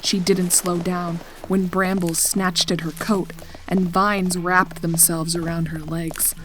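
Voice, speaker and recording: A woman narrating a horror story in a deliberate, suspenseful, dramatic style. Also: on the low side, flat delivery, a little hiss or hum, low-quality recording. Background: background music.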